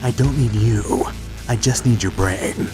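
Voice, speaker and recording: A man voicing a gruff, stoic, battle-hardened soldier in a video game, terse and blunt, with a gravelly growl. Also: lowish voice, unhurried, lightly acted, low-quality recording. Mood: curt, commanding. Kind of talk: dramatic video game voice acting. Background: background music.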